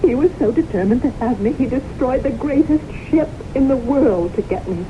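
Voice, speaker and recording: A woman's voice: high-pitched, lively intonation, normal speed. An actress playing a haunted, fearful, romantic woman of forty-one in an earnest, dramatic radio play style. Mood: anguished. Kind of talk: 1970s radio drama acting. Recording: muffled, noisy old radio broadcast.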